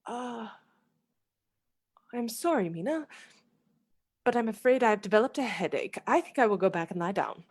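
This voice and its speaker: A woman's voice: on the high side, animated, moderate pace, pauses often, slightly rough. A woman acting a dreamy young Victorian lady in a radio play, airy and wistful as if under a spell. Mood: evasive.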